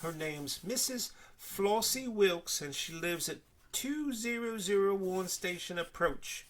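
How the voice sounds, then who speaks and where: A man voicing an indignant, blustering male crook in a storybook, shouting accusations and protests. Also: sits high, animated, slow pace, pitched above the performer's own voice, heavily acted.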